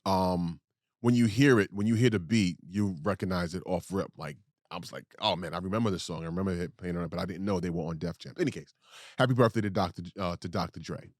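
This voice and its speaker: A man's voice: low-pitched, quick pace, dry recording, clean studio sound. A man co-hosting a podcast, chatting casually and rambling off on tangents. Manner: rambling, digressive storytelling. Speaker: podcast co-host.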